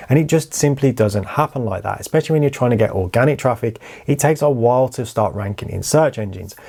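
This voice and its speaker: A man's voice: medium pitch, mellow. A man with a stuffy, slightly nasal voice from hay fever, giving candid, conversational advice as host of his own channel. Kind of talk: casual talking-head advice.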